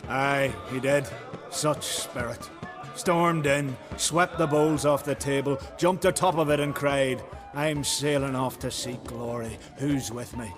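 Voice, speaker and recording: An actor playing a hearty tavern keeper in a fantasy video game, telling tales in a folksy, animated way. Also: male voice, mid-range voice, measured pace, over music, close to an everyday voice. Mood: admiring, rousing. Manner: folksy, animated storytelling with archaic dialect words. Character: hearty male island tavern keeper, fond storyteller.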